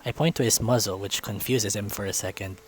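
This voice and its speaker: A man's voice: on the low side, fairly even intonation, brisk. A man reading a visual novel aloud as narrator, in a storytelling style.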